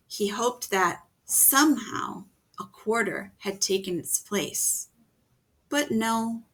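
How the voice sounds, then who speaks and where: A woman reading a children's picture book aloud, gently pausing to ask her young listeners questions. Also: medium pitch, gravelly, husky. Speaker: storytime reader.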